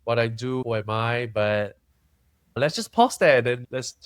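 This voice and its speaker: A young man from Singapore, talking candidly and thoughtfully as a podcast guest, often pausing and restarting. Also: mid-range voice, expressive, clean tone, no room sound, clean studio sound. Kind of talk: candid conversational interview.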